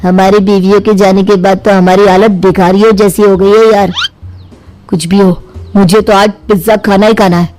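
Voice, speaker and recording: A man's voice: high voice, little pitch movement, moderate pace, a little mellow, soft background music, close to an everyday voice. A man voicing a carefree, food-loving young son-in-law in a cartoon, breezy and pleading. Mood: eager. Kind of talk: Hindi cartoon voice acting.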